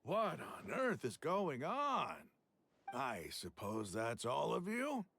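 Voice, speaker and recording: A man's voice: a little high, wildly swooping pitch, slow, a bit bright, slight background noise, full character voice. A man playing a smug, mocking schemer in a video game.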